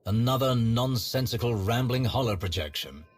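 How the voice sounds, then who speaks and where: A man's voice: mid-range voice, animated, normal speed, husky. A man playing a haughty Sith sorcerer in a video game, speaking in a wry, sardonic and formal manner. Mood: wry, dry. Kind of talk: scripted video game acting.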